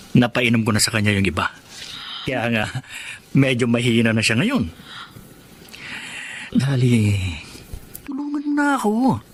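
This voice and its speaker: A man's voice: a little high, fairly bright tone, slight background noise. An actor playing a greedy young man who schemes and wheedles insistently in a Filipino melodrama. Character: scheming, greedy young adopted son coaxing his sweetheart.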